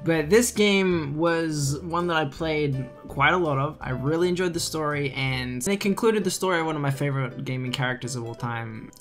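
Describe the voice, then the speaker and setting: Young Australian man, chatty vlogger. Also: medium pitch. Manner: chatty, relaxed, many fillers. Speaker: gaming YouTuber. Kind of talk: casual Q&A vlog. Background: faint guitar music.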